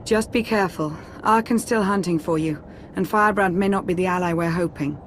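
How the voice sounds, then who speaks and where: Video game role: earnest female advisor voiced by a woman, low register, measured and cautious, faint noise behind. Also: lightly acted. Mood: cautious, concerned.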